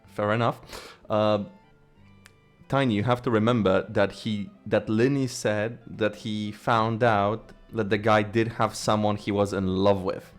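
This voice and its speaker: A man giving casual gameplay commentary as a streamer, rambling and theorizing excitedly, swearing now and then. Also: on the low side, measured pace, clean tone, soft background music. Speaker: game streamer.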